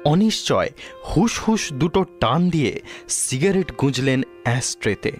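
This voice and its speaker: A man narrating a scripted audio story in a dramatic, deliberate manner. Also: fairly high pitch, big pitch swings, soft background music.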